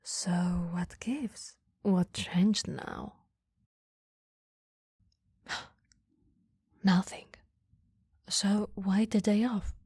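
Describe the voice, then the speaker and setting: Woman as caring, flirty female boss for ASMR roleplay: very breathy, unhurried, soft-spoken, close on the mic. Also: medium pitch, fairly even intonation, dry recording, full character voice. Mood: puzzled, probing. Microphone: close microphone, dry.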